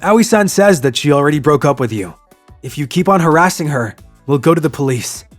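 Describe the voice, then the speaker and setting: A man's voice: a little high, expressive, brisk, natural voice. An actor playing an earnest young office worker, narrating his own love story in a manga drama, with music behind. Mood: firm, confronting.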